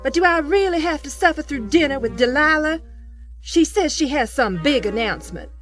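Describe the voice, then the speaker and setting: An actress playing a haughty, irritable conspirator in an audio drama, curt and disdainful. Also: female voice, high-pitched, animated, normal speed, a bit bright, soft background music. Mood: irritated, anxious.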